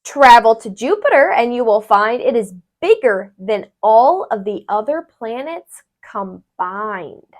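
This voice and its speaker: Woman, high voice, very lively intonation, sing-song children's read-aloud, tutor. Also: takes it slowly. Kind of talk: animated children's read-aloud of a rhyming book.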